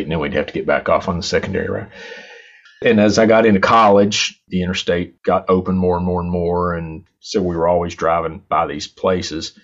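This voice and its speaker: A man, a singer-songwriter guest telling stories from his youth in a reflective, rambling way. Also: deep voice. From southern West Virginia. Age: 60s. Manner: reflective, rambling, chuckles between thoughts. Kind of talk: radio interview, storytelling. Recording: dull, muffled lo-fi sound.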